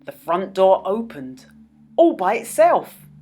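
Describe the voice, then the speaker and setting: A woman narrating a children's story as a storyteller, with dramatic, expressive delivery. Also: medium pitch, takes it slowly. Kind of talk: children's read-aloud narration.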